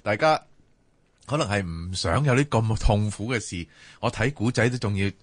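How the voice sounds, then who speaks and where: A man's voice: on the low side, animated, normal speed, no echo. A man with a Hong Kong Cantonese accent co-hosting a casual late-night radio discussion, talking colloquially with frequent exclamations. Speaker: radio talk show co-host.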